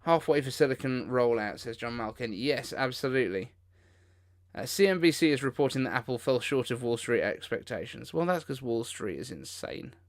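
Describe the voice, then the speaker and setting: A man's voice: medium pitch, expressive, moderate pace. A man hosting a casual livestream, reacting in an informal, incredulous way as he comments. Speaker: livestream host.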